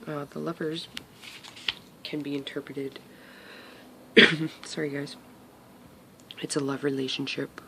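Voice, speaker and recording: A man's voice: medium pitch, gravelly, sharp tone, slight background noise. A man giving a casual tarot reading to his viewers. He talks hesitantly with many fillers and often drops to a whisper. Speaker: tarot reader.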